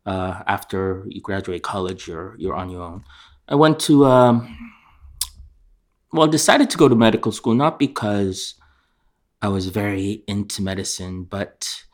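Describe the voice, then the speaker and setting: A man with a fairly low voice talks as an interview guest. His answers are conversational and reflective, coming haltingly with frequent pauses. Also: steady tone. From born in Korea, raised in England and New York.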